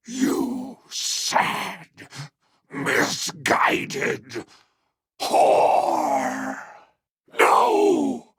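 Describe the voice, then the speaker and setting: A dying, embittered old man in a video game. The voice is strained and gravelly, leaping very high, with words gasped out slowly in broken bursts of fury. It is recorded dry and close. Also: a bit bright, dry recording, heavily acted. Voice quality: strained, gasping, broken delivery. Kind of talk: scripted video game character dialogue.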